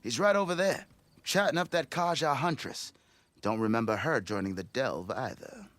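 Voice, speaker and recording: A man's voice: medium pitch, lively intonation, moderate pace, a bit bright, close to an everyday voice. An actor playing a blustering, self-important expedition leader in a video game, with a theatrical, wheedling salesman's patter. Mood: persuasive. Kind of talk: video game cutscene dialogue.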